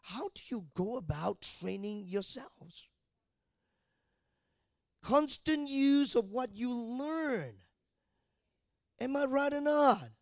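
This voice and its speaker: Male preacher from Malaysia, very high voice, dry close sound, asks the crowd questions. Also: expressive, takes it slowly, vocal fry, pauses often, no room sound. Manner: asks the congregation questions often. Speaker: pastor. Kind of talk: sermon.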